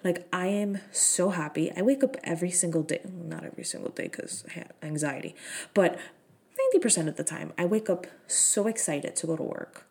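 A woman's voice: fairly low pitch, lively intonation, brisk, creaky, a little mellow. Chatty, swearing woman in her thirties. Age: 30s. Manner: chatty, frank and profane, with many fillers. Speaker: podcast host. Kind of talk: casual solo podcast chat.

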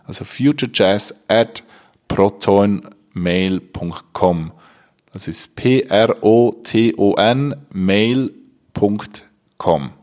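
A man's voice: fairly low pitch, drawn-out words, darkish tone, no echo, studio quality. Casual male podcast host, mid-range voice. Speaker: podcast host and street interviewer. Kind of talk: casual street interview and podcast chat.

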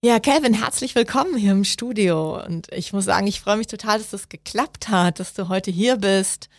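Woman, podcast host, clear and friendly, dry close studio sound. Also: mid-range voice, normal speed, no room sound. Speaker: podcast host and integration course teacher. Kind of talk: podcast interview.

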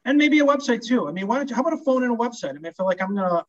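A man's voice: extremely high pitch, animated, very fast. A man hosting an interview in a casual, rambling way, full of fillers. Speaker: host, family law attorney. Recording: dull, distant lo-fi sound.

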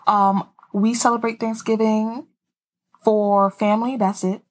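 Young woman podcast co-host, husky tone, chatty storyteller who laughs a lot. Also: mid-range voice, level tone, unhurried. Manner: laughs often, tells stories with quoted asides. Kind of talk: casual chat.

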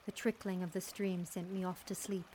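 A woman's voice: on the low side, flat delivery, normal speed, mellow, slight background noise, lightly acted. A woman playing a resolute young settler mother, telling her story in intense, dramatic first-person narration. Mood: weary, tense. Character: resolute young settler mother recounting a wild dog attack.

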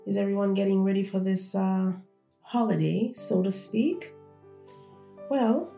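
A podcast host born in Jamaica and raised in Toronto, musing in a loose, conversational way and often turning to the audience with questions. The voice is gravelly and mellow. It sounds like a roomy space with noticeable echo. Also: mid-range voice. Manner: reflective, conversational, addresses listeners directly. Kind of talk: casual solo podcast talk.

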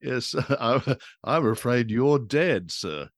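A man's voice: medium pitch, expressive, slow, no room sound, studio quality. An older man co-hosting a show, chatting casually about a radio drama. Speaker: podcast co-host.

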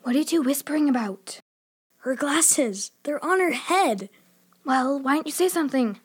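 An actress playing a forthright young child adventurer in a children's audio drama. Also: female voice, high-pitched, expressive, moderate pace. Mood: exasperated, whispering.

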